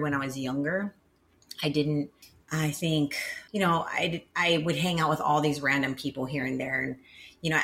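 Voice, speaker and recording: Low-voiced woman in her forties. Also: a bit bright. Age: 40s. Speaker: podcast co-host. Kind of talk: casual chat.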